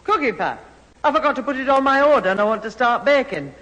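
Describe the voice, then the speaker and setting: A woman's voice: sits high, animated, quick pace, a bit bright, highs cut off. A woman playing a homely, long-suffering middle-aged mother with a Northern English accent in a 1970s radio comedy. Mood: brisk, exasperated. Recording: hall sound, faint hiss.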